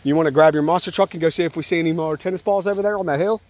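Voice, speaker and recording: A man's voice: fairly high pitch, rapid-fire, darkish tone. A man talking casually and encouragingly as a father to a young child, outdoors at a park. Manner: encouraging, informal, addresses child as man and bud. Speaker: father and vlogger.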